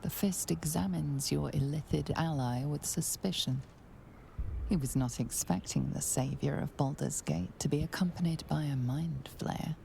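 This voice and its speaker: A woman voicing a grave storyteller in scripted game narration, hushed and suspenseful. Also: low-pitched, moderate pace, creaky, a little hiss or hum, low-quality recording. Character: grave, hushed female storyteller of fantasy adventure.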